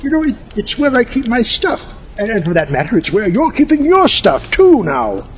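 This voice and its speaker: An actor playing a fussy, excitable, self-satisfied comic onlooker with prissy wording in a radio comedy. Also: male voice, very high voice, moderate pace, exaggerated voice. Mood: smug, fussy. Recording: muffled recording with faint noise.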